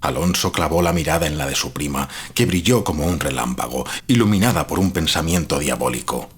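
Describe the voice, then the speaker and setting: Casting: male narrator for gothic horror, deep and heavily rasping, grave, literary, deliberate. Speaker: storyteller.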